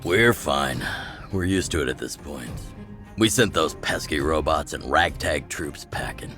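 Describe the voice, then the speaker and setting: A man voicing a gruff, wry older rebel chief in a video game, with a measured, sardonic delivery. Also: animated. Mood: relaxed, assured. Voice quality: deep, gravelly. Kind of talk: scripted video game voice acting. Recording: game music under the voice. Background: game music.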